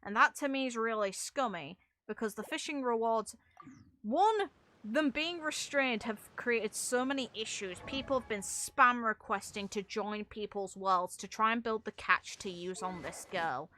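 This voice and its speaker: Woman, game streamer, bright sharp voice, casual rambling rant, exasperated, blunt, sweary. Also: fairly high pitch, normal speed. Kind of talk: casual ranting gaming commentary.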